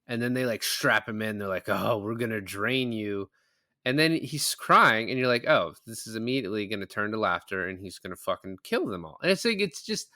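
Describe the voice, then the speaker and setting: Podcast panelist, male. Sharp, bright tone, very dry recording. Emphatic, profane, opinionated film chat. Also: mid-range voice, no room sound. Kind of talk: casual film discussion.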